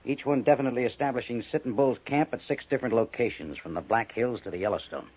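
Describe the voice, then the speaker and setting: A man's voice: medium pitch, fast, husky, lightly acted. Man playing earnest army major, tinny old recording. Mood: commanding. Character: earnest male army major giving orders. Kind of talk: 1950s radio western acting. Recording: thin, phone-like, noisy 1950s radio recording.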